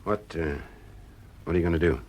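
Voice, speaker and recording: An actor playing a calm, persuasive private detective in an audio drama, with a husky voice. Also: male voice, deep voice, brisk, a little hiss or hum, natural voice.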